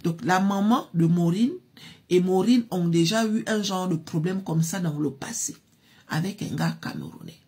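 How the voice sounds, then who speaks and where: A woman's voice: fairly low pitch, big pitch swings, normal speed, a little mellow, breathy edge. A woman narrating a story in a chatty, casual way, talking directly to her listeners. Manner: chatty, addresses listeners as "mes chéris".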